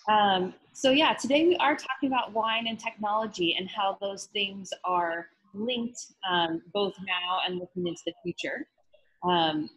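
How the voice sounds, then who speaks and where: Husky woman moderating a video call. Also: mid-range voice, a bit bright, poor audio. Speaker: panel moderator.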